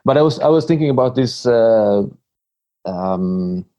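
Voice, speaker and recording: A man interviewing a guest, asking questions in a halting way full of fillers. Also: mid-range voice, animated, dark tone, dull audio.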